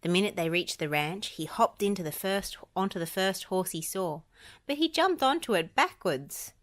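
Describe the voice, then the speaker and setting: A woman reading a children's storybook aloud as an expressive narrator. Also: medium pitch, moderate pace. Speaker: storybook reader.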